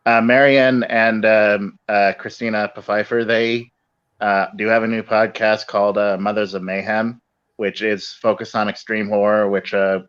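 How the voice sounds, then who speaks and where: A man speaking as a podcast guest in halting, measured conversational phrases. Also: on the low side, little pitch movement, fairly bright tone, clean tone, dull audio. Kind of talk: casual conversational talk.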